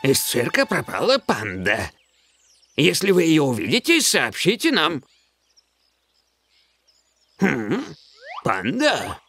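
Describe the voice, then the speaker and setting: Grumpy sneaky cartoon villain guy, slow whiny muttering, pitch all over the place. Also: wildly swooping pitch, fairly bright tone, slightly rough, heavily acted. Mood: sly, plotting. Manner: theatrical, muttering and whining. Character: grumpy, scheming adult male cartoon villain. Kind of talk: children's cartoon dubbing. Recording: voice over prominent background music.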